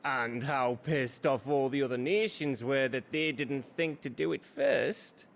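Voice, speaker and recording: A man with a British accent playing a cheeky, rough-mouthed scout and loyal pal in a fantasy game, chummy and irreverent. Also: medium pitch, measured pace. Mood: wry briefing. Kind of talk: dramatic fantasy game acting.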